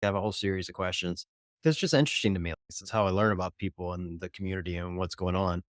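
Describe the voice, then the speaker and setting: Man, podcast host, fairly low voice, rambling easygoing chat, dry sound. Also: racing, dry recording. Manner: chatty, rambling, laid-back. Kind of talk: casual chat.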